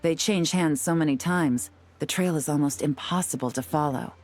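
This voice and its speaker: A shrewd, composed female investigator from a video game. She speaks in a low, even voice, explaining step by step with calm, measured control. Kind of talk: video game cutscene voice acting.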